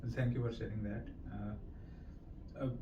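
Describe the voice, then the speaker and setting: A man's voice: on the low side, flat delivery, measured pace, dark tone, lo-fi sound. A man from India with an Indian accent, working through interview questions in a hesitant, conversational way. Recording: roomy echo, distant mic, faint noise.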